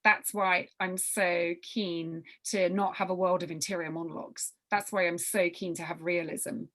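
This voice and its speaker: A woman's voice: fairly low pitch, steady tone, moderate pace, fairly bright tone. A woman leading an informal briefing for writers, emphatic and repeating her phrases as she thinks aloud. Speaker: workshop leader briefing young writers. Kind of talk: informal spoken briefing with question and answer.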